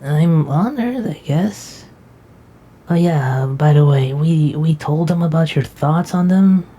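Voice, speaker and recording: A drowsy, protective young knight, played by a woman, with a low, husky voice. She talks slowly and softly, with a dark, mellow tone and faint music behind. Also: heavily acted. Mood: tired. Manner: drowsy, soft and affectionate. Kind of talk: casual roleplay dialogue.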